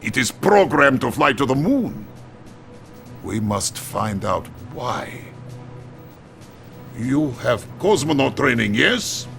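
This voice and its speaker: Game role: theatrical Russian-accented premier, gravelly edge, swooping delivery, bombastic and slow, music behind. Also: male voice, big pitch swings, exaggerated voice. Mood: confiding, grave. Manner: bombastic, broken English, swings from booming to confiding. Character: boisterous, theatrical male Soviet premier, jovial and scheming. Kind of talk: video game cutscene acting.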